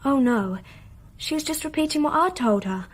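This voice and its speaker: A woman's voice: sits high, lively intonation, normal speed. An actress playing a dreamy, trusting young girl in a radio play, speaking with earnest simplicity. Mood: earnest, recounting.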